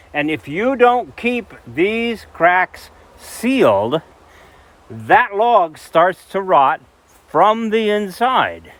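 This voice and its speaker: A man's voice: on the high side, a bit bright. An older man from Idaho, explaining log building in a folksy, emphatic way, recorded outdoors by a cabin and indoors in a wooden room. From central Idaho, USA. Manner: folksy, emphatic teacher, gesturing at logs. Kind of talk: explaining outdoors, then interview storytelling.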